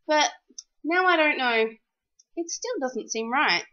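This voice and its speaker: A woman reading a rhyming children's storybook aloud in an expressive, animated, sing-song way. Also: high-pitched, slow pace, highs cut off.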